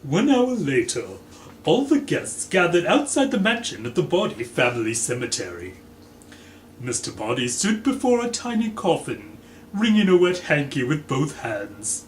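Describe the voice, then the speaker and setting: A man giving a dramatic, deliberate reading of a story as its theatrical narrator. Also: a little high, big pitch swings. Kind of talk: dramatic audiobook-style narration. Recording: echoey room, faint background noise.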